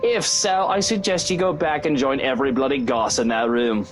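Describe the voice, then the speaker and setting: An actor playing an arrogant, sulky young husband, sarcastic and dismissive. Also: male voice, medium pitch, normal speed, a little hiss or hum, natural voice. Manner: sarcastic, dismissive, theatrical swoops. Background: faint game music.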